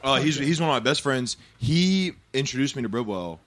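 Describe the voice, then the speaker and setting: A man's voice: medium pitch, brisk. A man in his twenties, a band member chatting loosely backstage, telling stories and swearing freely. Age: 20s. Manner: loose, swearing, animated storytelling. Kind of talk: casual backstage chat. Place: backstage green room.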